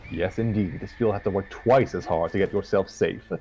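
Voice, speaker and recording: A man's voice: low-pitched, moderate pace, warm tone, faint music behind, lightly acted, poor audio. A man voicing a smug male cartoon trickster creature who announces contest rules, with some stumbles. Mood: explaining. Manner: expository, announcing, stumbling over words.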